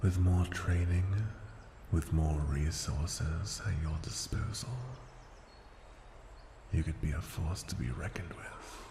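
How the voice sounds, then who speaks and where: A man voicing an ancient, haughty and possessive dragon in an intimate fantasy ASMR roleplay, speaking formally and deliberately with condescending tenderness and a breathy edge. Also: sits low, steady tone, reverberant, background noise, heavily acted. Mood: musing, intrigued. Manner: slow, deliberate, formal, condescending, often dropping to a breathy whisper.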